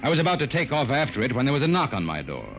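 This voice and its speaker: Self-deprecating young American lawyer, radio drama narration, lively intonation, vintage muffled sound, prominent music. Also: male voice, medium pitch, very fast. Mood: dry storytelling. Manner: wry, dryly self-deprecating. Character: wry luckless young lawyer narrating his own story. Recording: dull vintage radio recording, loud music underneath.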